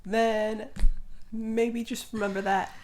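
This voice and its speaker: A woman's voice: on the high side, little pitch movement, slow, darkish tone. A woman co-hosting a casual podcast, speaking in a blunt, informal way. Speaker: podcast co-host. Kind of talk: casual conversational podcast chat.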